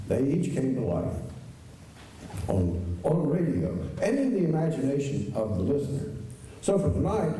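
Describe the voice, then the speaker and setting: A man's voice: fairly high pitch, slight background noise. A man announcing a live show with a scripted, nostalgic introduction. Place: high school auditorium stage. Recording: very echoey auditorium, distant mic, loud music. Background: loud music.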